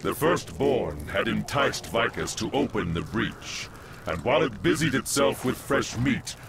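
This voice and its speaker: An actor playing an ancient Roman Praetorian guard in a video game, gravelly, grave and declamatory, speaking in solemn archaic phrases. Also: male voice, mid-range voice, very animated, unhurried, noisy background, full character voice. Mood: solemn, ominous. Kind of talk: video game cutscene acting.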